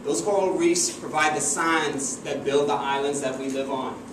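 A man's voice: a little high, level tone, normal speed. A young man from the Bahamas giving an earnest, emphatic memorized talk as a doctoral student presenter in a university lecture room. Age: young adult. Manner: earnest, emphatic, standing with open gestures. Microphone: far camera, no visible mic. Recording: echoey room from far off, air-conditioning hum.

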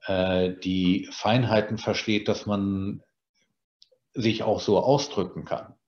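A man's voice: fairly low pitch, steady tone, slow, a little mellow. A middle-aged bilingual man, raised in Germany and England, presenting an online lecture from his home study with many "äh" fillers. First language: German and English, bilingual. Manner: explains slide points, frequent "äh" fillers. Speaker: translator giving a presentation. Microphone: webcam on a video call. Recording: roomy, dull lo-fi sound.

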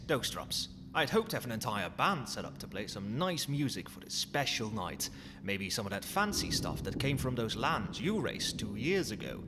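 Man playing a lively, cheeky skeleton butler. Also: on the low side. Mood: eager, deferential. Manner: formal, servile, theatrical. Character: pun-loving undead skeleton butler, courteous and cheeky. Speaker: butler character in roleplay. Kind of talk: ASMR roleplay acting. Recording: long hall reverb, noisy ambience.

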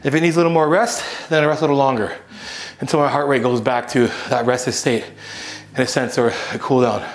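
A man's voice: medium pitch, brisk. A man in his thirties talking casually through a home workout, explaining each exercise as he goes in a small indoor gym. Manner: relaxed, explanatory, talks while exercising. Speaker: fitness coach.

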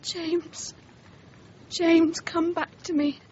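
A woman's voice: level tone, very slow, mellow, heavily acted. An actress playing a well-bred young Englishwoman in grief in a radio drama, earnest and genteel, with an English accent. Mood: anguished. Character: grieving, well-bred young Englishwoman, polite but distraught. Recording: slightly muffled, faint rumbling noise.

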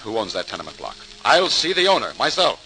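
A man's voice: lowish voice, big pitch swings, fast talker, pushed and bright, full character voice, poor audio. A man acting in a 1940s radio drama as an earnest, determined crusading doctor, speaking formally and emphatically. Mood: indignant, determined. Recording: old radio recording with faint noise.

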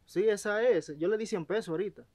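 An arrogant young estate boss snaps scornful orders in a high voice, as a man plays him. The sound is dry and outdoors, picked up from a little way off. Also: quick pace, dry recording. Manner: sharp commands, scornful. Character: arrogant, bossy young male estate owner. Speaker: actor. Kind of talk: web drama acting. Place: outdoor terrace in green hills.

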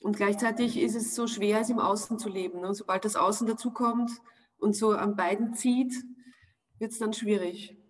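A woman's voice: mid-range voice, fairly even intonation, normal speed, low-quality recording. Thoughtful Austrian woman on a video call. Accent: Austrian German. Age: 40s. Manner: thoughtful, searching for words, frequent fillers. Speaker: actress being interviewed. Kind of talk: reflective interview answers. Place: home room with wood panelling, indoors. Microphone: video call webcam.

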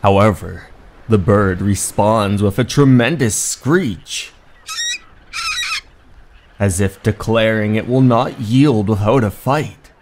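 A man narrating a scripted horror story in a measured, theatrical, dramatic way. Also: fairly low pitch, darkish tone. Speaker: narrator, channel host. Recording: music behind the voice.

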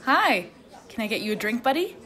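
A woman's voice: on the high side, sing-song, brisk, fairly bright tone. A woman playing a chirpy, sweet and friendly young coffee-shop barista in a radio play. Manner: chirpy, sweet customer service.

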